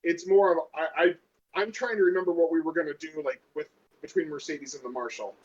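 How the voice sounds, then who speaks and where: A man's voice: fairly high pitch, a little fast, darkish tone. A man casually talking through a tabletop game out of character as a player, thinking aloud in a hesitant way. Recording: dull lo-fi audio.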